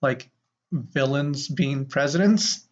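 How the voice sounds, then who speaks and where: A podcast co-host in casual chat with a halting, wry way of talking, on a dull, lo-fi recording. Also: medium pitch. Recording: dull, lo-fi sound.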